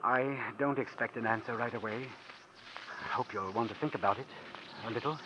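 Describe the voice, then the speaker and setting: An actor playing an earnest, evasive married businessman with a halting delivery, in 1940s radio drama style. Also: medium pitch, close to an everyday voice. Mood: pleading, tender. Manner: halting, hesitant. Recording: muffled, noisy old-time radio recording.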